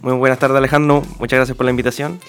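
A young man with a Chilean accent answering interview questions in a polite, measured, explanatory way. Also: medium pitch, flat delivery, faint music behind. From Viña del Mar, Chile. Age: young adult. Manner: polite, measured, explanatory, hedging with set phrases. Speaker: interview guest, clinic commercial manager.

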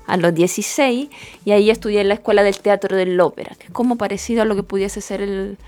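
A woman's voice: medium pitch, quick pace, darkish tone. A middle-aged woman from Italy who speaks Spanish with an Italian accent. She is a guest in an interview and explains at length, thoughtfully, with small hesitations. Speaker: guest, education director. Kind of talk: conversational interview.

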